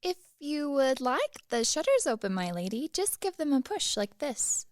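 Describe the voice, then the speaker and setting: A woman's voice: high voice, sing-song, moderate pace, a little hiss or hum. A woman acting in a radio play as a plain-spoken young maidservant. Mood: calm, obliging. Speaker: actor in a student radio play.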